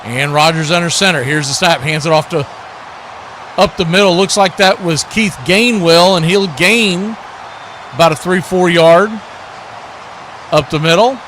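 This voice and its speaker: A man hosts a live football broadcast, calling the action in short, clipped phrases. His voice sits a bit high and is bright and forceful, with faint noise behind it. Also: unhurried, long pauses. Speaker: play-by-play host of a football watch party. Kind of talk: live sports play-by-play commentary.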